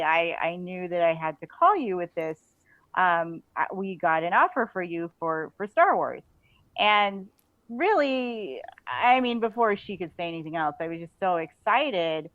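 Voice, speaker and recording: An American woman chatting casually as an interview guest, animated, effusive and often giggly. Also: lowish voice, no echo. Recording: slightly muffled, dry audio.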